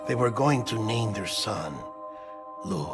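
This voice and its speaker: Casting: a gentle, melancholy man, lab-grown outsider in a cinematic game; slow storyteller delivery over a music bed. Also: fairly low pitch, expressive. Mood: solemn. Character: melancholy man, lab-grown medical colleague, earnest and confessional. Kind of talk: cinematic video game voice acting. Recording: background music under dialogue.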